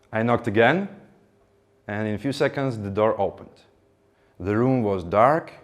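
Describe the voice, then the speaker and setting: Man telling a motivational story. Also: on the low side. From Ukraine. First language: Ukrainian. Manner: animated, acts out dialogue. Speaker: speaker.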